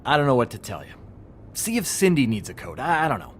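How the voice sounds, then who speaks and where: A smug trickster of a shop clerk in a video game. He talks in a mid-pitched, very lively voice, flippant and sarcastic, with faint ambience behind him. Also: quick pace, a little hiss or hum. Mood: flippant, dismissive. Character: glib, smug young male pawnshop clerk and trickster.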